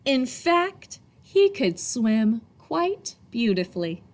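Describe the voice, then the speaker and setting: A woman reading a picture book aloud to children expressively, in a teacherly way, pausing for young listeners. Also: a little high, very animated, darkish tone.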